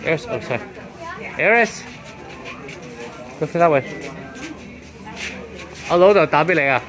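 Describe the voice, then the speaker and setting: A man's voice: on the high side, animated, measured pace, crowd noise. A man filming a family outing in a farm shop, coaxing a child along and mixing in Cantonese. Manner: coaxing, gives directions to a child, mixes in Cantonese. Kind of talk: casual family chat. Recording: shop music behind.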